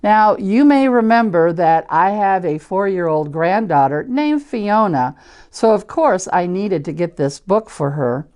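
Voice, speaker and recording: An older woman with an American Midwestern accent reads and chats to children as a storyteller, in simple, deliberate wording with questions to her listeners. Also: mid-range voice, expressive, darkish tone, smooth. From Iowa, United States. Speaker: church storyteller reading picture books to children. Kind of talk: children's read-aloud with chatty asides.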